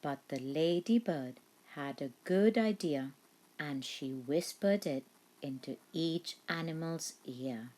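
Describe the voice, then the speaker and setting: Slow, low-pitched woman reading a storybook. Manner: deliberate, animated with acted animal noises and voices. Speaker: teacher reading a storybook aloud. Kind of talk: expressive children's storybook narration.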